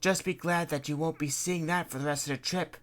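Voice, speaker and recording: A woman voicing a calm male guide on a ship who reassures the crew. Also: low voice, brisk, pitched above the performer's own voice, natural voice. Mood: reassuring.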